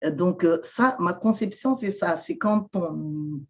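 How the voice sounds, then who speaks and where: A woman from Madagascar, whose first language is not French, answering interview questions slowly with many pauses, fillers and repeated words. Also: lowish voice, darkish tone, dull audio. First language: Malagasy. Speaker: guest, shamanic therapist.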